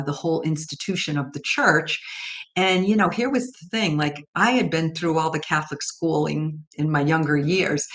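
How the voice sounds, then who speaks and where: An older woman speaking casually and reflectively as she tells a personal faith story, with fillers and restarts. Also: low-pitched. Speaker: podcast host sharing her story.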